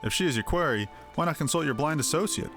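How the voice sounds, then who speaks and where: A man's voice: fairly high pitch, expressive, fast, fairly bright tone, soft background music. Man playing a snooty fantasy wine merchant. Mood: arch, disdainful. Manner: formal, snooty, arch. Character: haughty, fastidious wine merchant with dry disdain. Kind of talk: hobby voicing of fantasy game dialogue.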